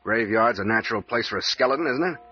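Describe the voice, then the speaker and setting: An actor playing a gruff, bossy captain in an old radio thriller, brusque and slangy, with a dry, bold streak. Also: male voice, mid-range voice, expressive, quick pace, pushed and bright. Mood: wry, determined. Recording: dull lo-fi old radio recording.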